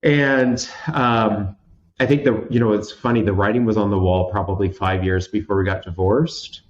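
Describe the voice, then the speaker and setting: Animated man in his thirties, lo-fi sound. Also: fairly low pitch, normal speed, a little hiss or hum. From Washington State, USA. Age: 30s. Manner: animated, theatrical, self-mocking with frequent restarts. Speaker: guest. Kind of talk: candid storytelling in conversation.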